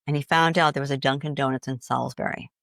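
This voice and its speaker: A woman's voice: extremely low pitch, fairly even intonation, fast, creaky, dry recording, clean studio sound. A middle-aged woman from Boston with a slight Boston accent, chatting as a grief coach on a podcast and animatedly acting out inner voices. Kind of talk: casual podcast conversation.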